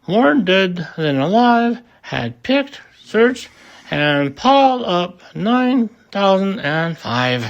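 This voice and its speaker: An amateur narrator reads a children's story aloud with theatrical animation. The pace is very slow and halting, and the pitch moves up and down a great deal. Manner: halting, stumbling over words. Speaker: amateur audiobook reader.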